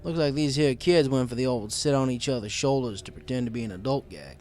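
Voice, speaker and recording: A man's voice: medium pitch, brisk, darkish tone, faint music behind. A man reading a story aloud as first-person narrator.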